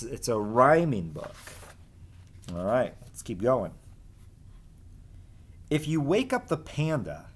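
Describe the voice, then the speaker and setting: A man with a medium-pitched voice talks to children as their teacher. His pace is unhurried, and his delivery is informal, the kind used for explaining and reading aloud to a class. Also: darkish tone. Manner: informal, explains and asks listeners questions. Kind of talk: casual read-aloud lesson for children.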